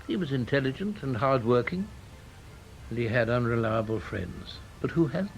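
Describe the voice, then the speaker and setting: Male actor as elderly English ex-spymaster for radio drama: gravelly, formal, guarded, dryly evasive, faint music behind. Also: medium pitch, animated, normal speed, lightly acted, low-quality recording. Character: elderly reserved British ex-intelligence official, dryly evasive.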